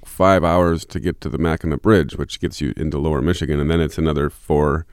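A man's voice: rumbling low, steady tone, fairly quick, smooth, dry recording, clean studio sound. A man musician talking through long, rambling interview answers, with many restarts and fillers. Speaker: musician guest.

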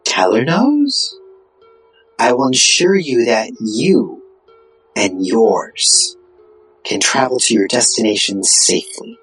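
An actor playing a formal otherworldly spirit in an audio drama, with a husky, breathy voice and measured manner. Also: medium pitch, very animated, fairly bright tone, faint music behind, full character voice, low-quality recording. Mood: solemn, bargaining.

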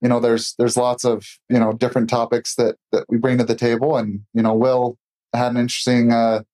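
A man's voice: mid-range voice, little pitch movement, brisk, a little mellow. A man in his thirties, a business owner, introducing himself loosely with lots of "uh" and "you know.". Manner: filler-heavy, loose. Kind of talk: roundtable introduction.

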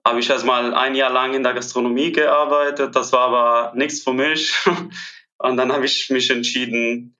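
A man's voice: medium pitch, little pitch movement, moderate pace, bright, forceful tone, dull audio. A man, answering interview questions hesitantly with many filler sounds. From Italy. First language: Italian. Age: 20s. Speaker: guest, airport trainee. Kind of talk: podcast interview.

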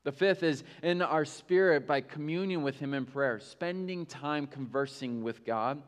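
A man's voice: a little high, measured pace, long reverb tail. A male pastor preaching a sermon in a candid, conversational way, with self-mocking asides.

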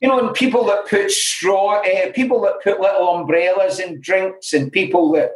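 A man's voice: high voice, fairly even intonation, normal speed. A middle-aged man from Glasgow with a Glaswegian Scottish accent, speaking as an interview guest and telling his own stories frankly, with many "you know" asides. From Scotland. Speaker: interview guest in alcohol recovery. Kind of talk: candid conversational storytelling. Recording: roomy echoey room.

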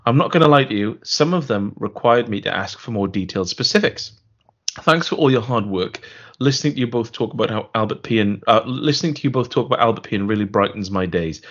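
A man co-hosting a podcast, chatting casually and reading out a listener email with a dry, deadpan delivery. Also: lowish voice, fairly quick. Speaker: podcast co-host. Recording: dull lo-fi podcast audio.

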